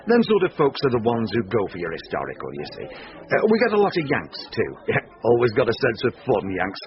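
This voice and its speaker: An actor with a Northern English accent playing a brash, shady, self-made club boss in a radio drama, folksy and blustering. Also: male voice, medium pitch, wildly swooping pitch, brisk. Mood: jovial boasting. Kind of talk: British radio drama acting. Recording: dull lo-fi radio broadcast.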